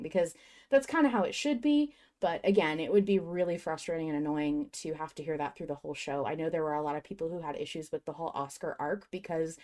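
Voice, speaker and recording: Woman, slightly low pitch, casual podcast discussion, reflective, enthusiastic, talkative. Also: darkish tone. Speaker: podcast host.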